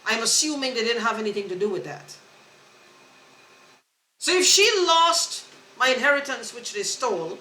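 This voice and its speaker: Earnest, halting woman in an echoey room. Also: a little high, expressive, sharp tone. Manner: halting, with many restarts and repeated words; earnest and insistent. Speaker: vlogger. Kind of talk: rambling personal monologue to camera.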